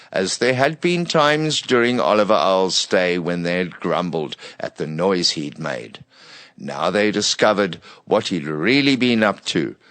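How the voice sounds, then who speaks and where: A man narrating a scripted bedtime story for children in an expressive read-aloud manner. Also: fairly low pitch, no echo.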